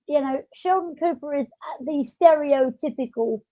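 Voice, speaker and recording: A woman's voice: sits high, normal speed. A woman talking in an informal, chatty way as she hosts a casual podcast. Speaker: podcast host. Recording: dull lo-fi audio.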